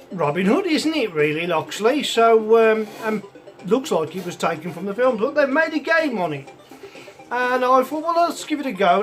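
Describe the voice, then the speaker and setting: Croaky high-voiced man, chiptune behind. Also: fairly bright tone. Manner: chatty, meandering, many ums and asides. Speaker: host of a retro computer show. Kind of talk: casual rambling review chat. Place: home hobby room with computers and a soldering bench. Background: chiptune music playing from a computer.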